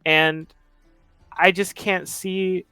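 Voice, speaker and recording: A man's voice: high-pitched, little pitch movement, very slow, sharp tone, clean tone, no echo, clean studio sound, faint music behind, exaggerated voice. A man voicing an earnest, kind teenage boy in a tabletop roleplay, pleading hesitantly. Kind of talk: tabletop roleplay character speech.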